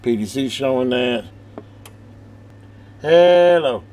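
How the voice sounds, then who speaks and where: A man's voice: mid-range voice, expressive, slow pace, darkish tone, slight background noise. A man giving a casual, rambling hands-on radio demonstration, thinking aloud in a folksy, informal way. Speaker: hobbyist presenter demonstrating a CB radio.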